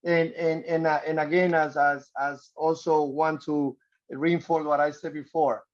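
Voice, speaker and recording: A man from the Republic, a transportation official giving earnest, halting testimony at a council hearing. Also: on the high side, level tone, muffled recording. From Dominican Republic. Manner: earnest, halting, repeats words.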